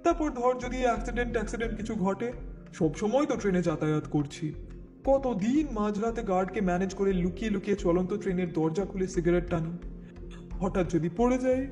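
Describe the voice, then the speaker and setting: A man acts out a jovial, bossy businessman in a dramatized audiobook. His voice is high for a man, his talk chatty and brusque, teasing in a familiar way. Faint music plays behind him. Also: expressive, a little fast, darkish tone.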